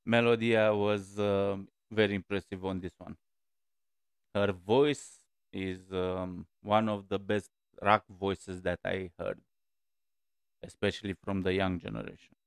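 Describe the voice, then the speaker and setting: A man's voice: deep voice, flat delivery, measured pace, creaky, clean tone, dry recording, studio quality. A man in his forties hosting a music reaction video, talking casually and hesitantly with many fillers, from a small room on a headset mic.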